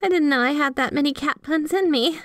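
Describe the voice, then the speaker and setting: A woman's voice: sits high, a little fast, fairly bright tone, no echo, clean studio sound. A woman playing a sweet, obsessively devoted yandere girlfriend in a scripted ASMR roleplay, gushing and bashful as she speaks to the listener. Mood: embarrassed. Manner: gushing, giggly, teasing, bashful asides.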